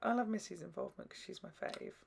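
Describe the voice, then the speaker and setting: A man's voice: high-pitched, fast talker, gravelly, a loud crowd. A young British man hosting a reaction video in casual chat, with a slangy, playful, emphatic way of talking. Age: young adult. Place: small furnished room at home, indoors. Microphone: large desktop microphone between two speakers.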